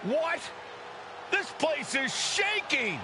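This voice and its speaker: A man calling wrestling as a commentator, with excited play-by-play delivery. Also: very high voice, drawn-out words. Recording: very noisy game broadcast audio.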